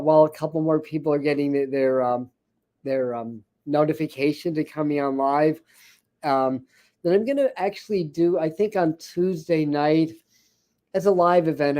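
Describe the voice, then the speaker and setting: A man with a scratchy, hoarse voice hosting a spiritual livestream, chatting casually with many fillers and restarts. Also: on the high side, mellow. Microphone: ring light setup, speaking to camera.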